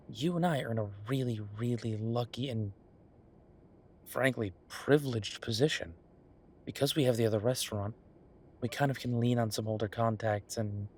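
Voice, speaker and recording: A man playing an easygoing chef in an audio roleplay, talking in a casual, musing, explanatory way to his partner, with a slightly husky voice. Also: medium pitch, slight background noise, lightly acted. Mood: earnest, casual. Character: easygoing male chef planning restaurant business with his partner. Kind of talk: one-sided roleplay acting, casual chat.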